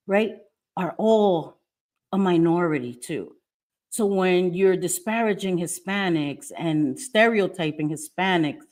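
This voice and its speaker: A middle-aged woman from New York, hosting a casual livestream, speaking emphatically and defiantly and repeating her phrases. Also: mid-range voice. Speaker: livestream host. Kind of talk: casual livestream chat, reading viewer comments. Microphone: webcam or phone mic, live stream.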